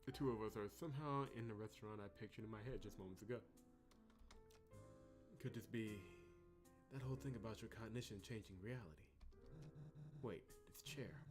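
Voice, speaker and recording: A man reads as a bewildered young hero of a video game. He is easygoing but exasperated and full of questions, in a fairly low voice over clear music. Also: brisk, pauses often, natural voice. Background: game music behind the voice.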